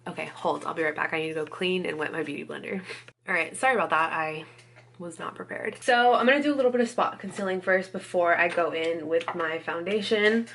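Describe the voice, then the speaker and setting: A woman's voice: on the low side, a little fast, gravelly, a bit bright, a little hiss or hum. A woman chatting casually while doing her makeup, still a little congested from a cold, with lots of "like" and "you guys". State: recovering from a cold, a bit congested. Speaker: beauty vlogger. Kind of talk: casual get-ready-with-me chat.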